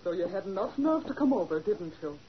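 A woman's voice: mid-range voice, big pitch swings, fairly quick, natural voice. An actress playing an anxious but defiant woman in 1940s radio style. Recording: muffled old radio recording, faint noise.

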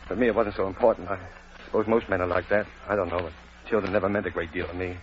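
A man acting an earnest young husband and father in a vintage radio drama, speaking haltingly and with emotion. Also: low voice, fairly even intonation, slight background noise, natural voice. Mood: halting, subdued. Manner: halting, emotional, repeating words. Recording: muffled lo-fi old radio recording.

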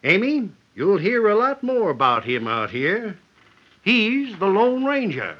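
An actor playing an earnest, forthright army major in an old radio western, with emphatic delivery. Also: male voice, high voice, huge pitch swings, slow, heavily acted. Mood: earnest, proud. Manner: emphatic, declamatory. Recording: dull vintage radio recording.

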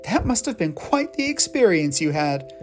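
A woman voicing a gentle, patient mother in a children's story, soft and coaxing. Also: on the low side, very animated, normal speed, soft background music. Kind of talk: storybook character voice.

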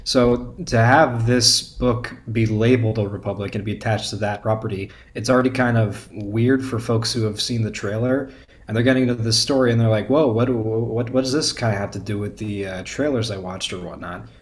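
A man's voice: fairly low pitch, fairly even intonation, brisk. A man speaking as a podcast guest in casual conversation, often repeating words as he builds his point. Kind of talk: casual chat.

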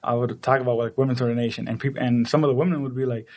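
A man's voice: medium pitch, steady tone, rapid-fire, a little mellow. A man from the Dominican Republic, an activist guest in an interview, speaking earnestly with frequent restarts and small laughs. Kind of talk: conversational interview.

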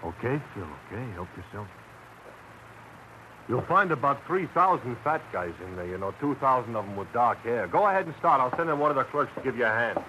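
A man playing a gruff, sardonic police lieutenant in a vintage radio detective drama, with a dry, grudging delivery. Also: mid-range voice, lively intonation, brisk, natural voice. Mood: grudging, businesslike. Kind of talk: 1940s-50s radio detective drama acting. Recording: slightly hissy vintage radio broadcast.

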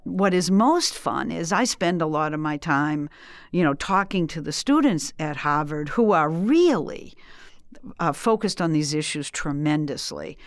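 A woman in her sixties with a Boston accent, speaking as an interview guest in an emphatic, animated way with frequent laughs. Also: lowish voice, no echo, studio quality. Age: 60s. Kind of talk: energetic conversational interview.

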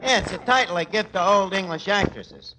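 A man's voice: high voice, brisk, pushed and bright. A man playing a garrulous, streetwise tavern manager with a New York accent who mangles big words, in a 1940s radio comedy style. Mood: breezy. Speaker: comic lead of a radio comedy. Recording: noisy old 1940s radio recording.

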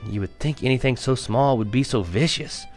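A man's voice: mid-range voice, moderate pace, darkish tone, lightly acted. Guy doing an anxious farm mom from a storybook, emotional and fretful, music behind. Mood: shaken. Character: anxious, scolding farm mother.

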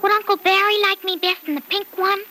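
A woman's voice: very high-pitched, fairly even intonation, a little fast, bright, forceful tone. A woman playing an earnest, insistent little girl with a childlike, pleading manner in an old-time radio serial. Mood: eager, insistent.

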